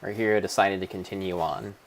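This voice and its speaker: A man delivering a mock-dramatic storybook narration of a game, with grandiose, theatrical and deliberate phrasing. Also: low voice, level tone, a little hiss or hum. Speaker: streamer narrating own gameplay.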